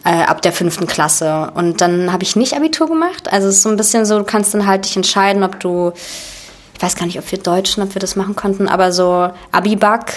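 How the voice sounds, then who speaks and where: A woman's voice: mid-range voice, brisk. A woman in her twenties from Germany, a guest chatting casually with many fillers and restarts. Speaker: actress and guest. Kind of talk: casual chat.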